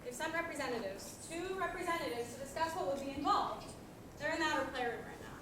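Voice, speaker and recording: Young woman as high-voiced little boy leader, very echoey. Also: lively intonation, fast talker. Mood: persuasive. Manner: persuasive, polite, mock-grown-up formality. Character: Jack, diplomatic little boy leader of the playroom. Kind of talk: student stage comedy acting. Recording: very echoey hall, far mic, loud noise.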